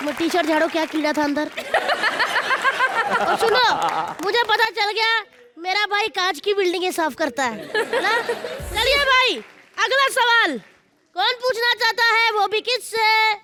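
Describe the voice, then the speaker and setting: A woman hosting a comedy show, teasing guests and the audience with quick playful jokes. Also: very high-pitched, animated, over a noisy crowd. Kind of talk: teasing stand-up banter with audience. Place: TV studio stage with live audience. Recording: loud studio audience and noise.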